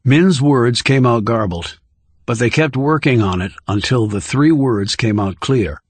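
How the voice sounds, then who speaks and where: A man's voice: mid-range voice, measured pace, husky, no echo. Male thriller audiobook narrator, close and dry.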